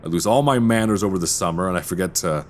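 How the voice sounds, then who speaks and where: A man playing a charming, teasing English professor in an intimate roleplay audio drama, talking with soft hesitations in a deep, gravelly voice. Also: quick pace, slight background noise, close to an everyday voice. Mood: flustered, apologetic. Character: charming, teasing male English professor, mild-mannered but flirtatious. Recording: binaural recording with faint background ambience.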